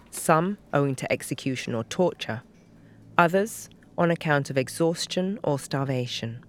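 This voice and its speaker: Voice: medium pitch, a little hiss or hum. A narrator reading scripted, documentary-style historical narration for an audio drama.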